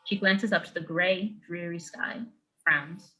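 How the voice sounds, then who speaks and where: A woman narrates a screenplay's stage directions. Her voice sits a little low but has a very bright, forward tone. She reads matter-of-factly in clipped phrases. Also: steady tone, unhurried, lo-fi sound.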